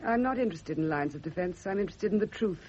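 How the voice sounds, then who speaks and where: A woman's voice: lowish voice, animated, fast, a little mellow, slightly rough. An actress playing an embittered, sleepless, guilt-ridden woman in a classic radio drama, speaking with tense emotional intensity. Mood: defensive, angry. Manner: intense, emotional, self-justifying. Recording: muffled, noisy old radio recording.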